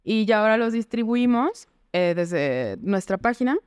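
A woman's voice: a little high, big pitch swings, normal speed, a little mellow, smooth, no echo, studio quality. A woman with a Mexican accent talking informally and candidly as a guest in a casual interview. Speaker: guest, entrepreneur.

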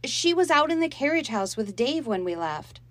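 A woman's voice: sits high, very animated, normal speed, fairly bright tone, no echo, pitched above the performer's own voice. A woman voicing a young girl who defends herself earnestly to her parents, in a storybook reading. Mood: defensive, pleading.

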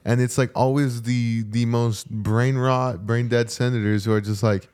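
A man's voice: medium pitch, moderate pace, clean tone. A man in a casual chat, telling stories in slangy speech and acting out exchanges as a podcast co-host. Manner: animated storytelling, acting out quoted exchanges.

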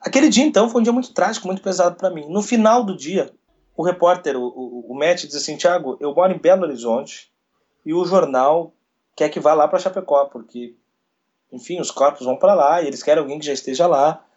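A man, a radio sports journalist telling a story in an interview, retelling events quickly with quoted dialogue. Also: on the high side, darkish tone. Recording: dull lo-fi recording.

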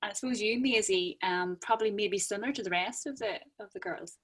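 A woman's voice: medium pitch, fairly quick, a bit bright, husky. A woman lecturer hosting a casual podcast chat with students, often repeating words as she thinks.

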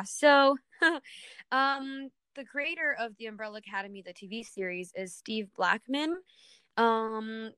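A woman's voice: mid-range voice, sharp tone, clean tone, no echo. A woman co-hosting a podcast in casual conversation. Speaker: podcast co-host.

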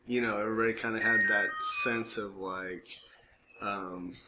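A man's voice: lowish voice, fairly even intonation, moderate pace, echoey room, music in the background, poor audio. An American man co-hosting a podcast, riffing casually in a goofy, rambling way with folksy slang. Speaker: podcast co-host. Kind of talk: casual chat, comic riffing. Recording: faint background noise.

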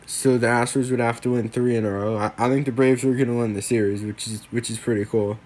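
A man's voice: medium pitch, steady tone, fast talker, a little mellow. A young man hosting a solo sports podcast, rambling casually with lots of fillers, a little worn from a lingering cold. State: getting over a cold.

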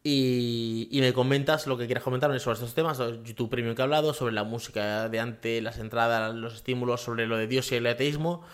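A man's voice: mid-range voice, steady tone, a little fast, few pauses. A man hosting a casual solo podcast from his home office, rambling with many fillers and tag questions. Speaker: podcast host.

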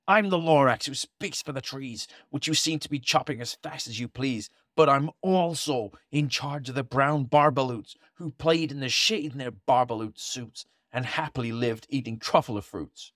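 A man's voice: mid-range voice, moderate pace, hardly any pauses, fairly bright tone, no room sound, clean studio sound, close to an everyday voice. A man voicing a small, cranky male forest creature who scolds in rhyme, read from a storybook. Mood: scolding. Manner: scolding, indignant rhyming speech. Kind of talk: storybook reading aloud.

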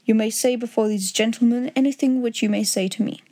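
A woman's voice: on the high side, a little fast, mellow, natural voice. A woman voicing a languid, incisive male detective in an audiobook story, with a dry, clipped, self-assured manner. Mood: courteous, firm.

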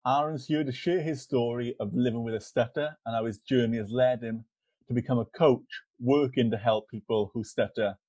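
A man hosting a podcast interview, asking calm follow-up questions. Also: mid-range voice, normal speed, dry recording, highs cut off. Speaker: podcast host.

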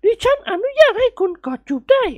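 A plump middle-aged society lady from an audiobook. She speaks very high and swoops about, polite but gushing, coy and insistent. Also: big pitch swings, fairly quick, no room sound, dull audio. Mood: coy, amused. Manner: polite, effusive, coy feminine speech.

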